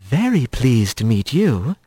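A man's voice: mid-range voice, very animated, takes it slowly, dark tone, slightly rough, no echo, pitched below the performer's own voice, exaggerated voice. Flirty female dockworker rat, read by a man: brazen, teasing storybook character, very dry sound. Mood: sly, flirtatious. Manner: teasing, forward.

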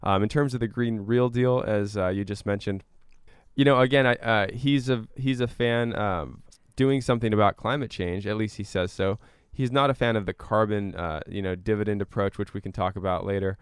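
A man speaking as an interview guest, explaining step by step in a measured, conversational way with careful qualifications. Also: on the low side, steady tone, clean tone, dry recording, studio quality. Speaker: interview guest, climate advocate.

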